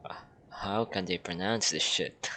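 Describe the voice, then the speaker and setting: A man's voice: low voice, unhurried, pushed and bright, slight background noise. A man casually commenting while playing a video game, with offhand quips and the odd swear. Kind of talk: casual let's-play commentary.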